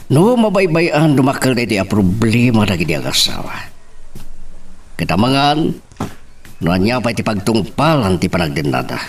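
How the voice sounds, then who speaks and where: A man's voice: fairly low pitch, recorded from a distance, slight background noise. A man playing a serious, concerned middle-aged father in an Ilocano radio drama.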